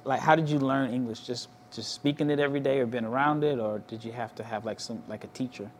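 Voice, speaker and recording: A man's voice: medium pitch, fast talker, a little hiss or hum. A man interviewing a basketball prospect, asking curious questions in a loose, casual way and often saying "like". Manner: curious, loose phrasing with many "like"s.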